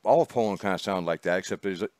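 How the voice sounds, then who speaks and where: Man, host, low voice, rambling, laughing, dry room. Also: very fast, darkish tone, no room sound. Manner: chatty, rambling, laughs often. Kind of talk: casual chat. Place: small studio.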